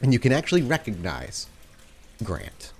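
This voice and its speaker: A man narrating a tabletop roleplaying game as game master, setting scenes in a measured, atmospheric way. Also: medium pitch, wildly swooping pitch, creaky, slightly rough.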